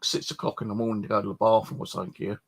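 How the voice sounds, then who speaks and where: Guy rambling casually, husky and croaky voice, grumbly and sweary. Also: lowish voice, flat delivery, rapid-fire. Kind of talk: casual rambling personal chat.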